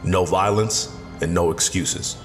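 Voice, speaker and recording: A man's voice: low voice, measured pace. A man playing a stern, fatherly older garage owner and community leader in an audio drama. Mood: firm. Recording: long reverb with faint music.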